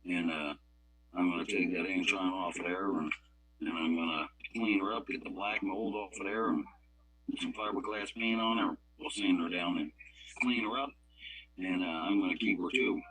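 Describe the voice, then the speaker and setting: A man's voice: low voice, moderate pace, a bit bright, rough recording. A man calling in to a radio club net, chatting casually in a plain-spoken, folksy way. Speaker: club member calling in on a walkie-talkie app.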